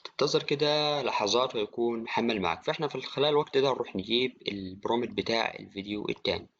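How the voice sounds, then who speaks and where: A man with an Egyptian accent giving an informal software tutorial, talking to the viewer like a friend. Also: mid-range voice, fast talker. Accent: Egyptian Arabic. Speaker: tutorial presenter. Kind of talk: casual screen-recorded software tutorial. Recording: dry, slightly dull, with clicking.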